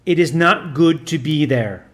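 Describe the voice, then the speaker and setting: Demon in an audiobook: a man voicing a loud, growling fiend, slow and defiant, voice sits fairly high. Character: snarling defiant male demon possessing a boy. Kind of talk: audiobook reading.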